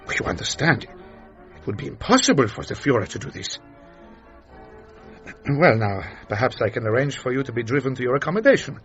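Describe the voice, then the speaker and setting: Muffled, courteous, menacing official. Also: male voice, mid-range voice, sing-song, fairly bright tone. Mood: cool courtesy. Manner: formal, measured, insinuating politeness. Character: courteous, menacing Nazi official, adult man. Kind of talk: radio drama acting. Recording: muffled radio drama with music behind.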